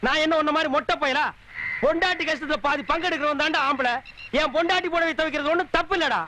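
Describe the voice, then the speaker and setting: An actor playing a loud, sharp-tongued village man who mocks and harangues everyone in a film comedy. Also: very high voice, quick pace, slight background noise. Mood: mocking. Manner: haranguing, sarcastic, insulting. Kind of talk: slapstick Tamil film comedy dialogue.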